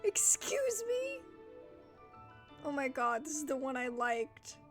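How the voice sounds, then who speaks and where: Very animated, high-voiced woman gamer. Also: slow. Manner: expressive, reactive. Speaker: gaming streamer. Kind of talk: casual let's play commentary. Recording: loud music behind the voice.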